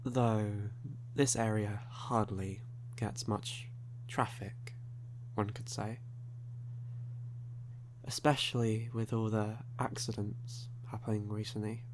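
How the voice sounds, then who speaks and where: Slow-talking, suave vampire played by a man. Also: fairly low pitch, little pitch movement, a little hiss or hum. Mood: sly, ominous. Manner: courtly, unhurried, teasing, formal wording. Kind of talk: ASMR audio roleplay.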